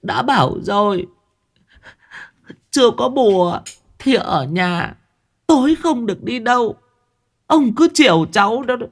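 Man putting on a shrill wailing old grandmother. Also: animated, measured pace, pauses often, exaggerated voice. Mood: fretful scolding. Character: frantic shrill old grandmother, weepy scolding.

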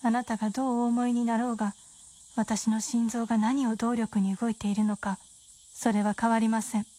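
A woman's voice: a little high, flat delivery, moderate pace, warm tone, natural voice. A woman playing a genteel, self-possessed doctor's wife with a teasing streak, speaking refined, formal lines in a period radio drama. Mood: cool, defiant.

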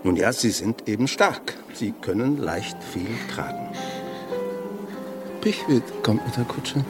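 Earnest village pastor over music. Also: male voice, mid-range voice, lively intonation, slow. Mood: gently observant. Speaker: actor in a radio play. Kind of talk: German radio drama acting.

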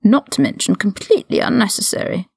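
Lady doing a snooty older wizard aristocrat guy, cold and mocking, lots of vocal fry, story reading, super dry sound. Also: on the high side, darkish tone, no echo. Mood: intense, persuasive. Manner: condescending, measured, drawling formal speech. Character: older aristocratic male wizard prisoner, haughty and coldly mocking.

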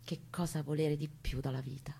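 A woman's voice: sits low, little pitch movement, fairly quick, gravelly, mellow, slight background noise. A woman reading a first-person narrative aloud in an intense, theatrical, emphatic way. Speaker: stage reader and narrator. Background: music accompaniment, guitar.

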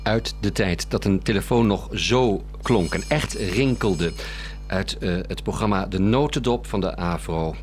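Low-pitched male radio announcer. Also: a little slow, faint music behind. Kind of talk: back-announcement of an archive radio play.

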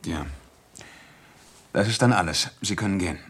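A man's voice: low-pitched, normal speed, a bit bright, a little hiss or hum. An actor playing a shrewd, unruffled senior police inspector in a radio play, methodical and commanding in his questioning. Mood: pensive, deliberate. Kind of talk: German radio play acting.

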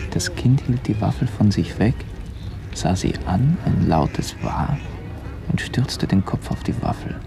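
A man in a literary radio play, playing a brooding observer who lists what is around him in halting fragments. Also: on the low side, big pitch swings, over music, heavily acted. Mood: observing. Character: male observer beside a lake, slow, brooding, dwelling on details. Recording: clear outdoor ambient noise behind voice.

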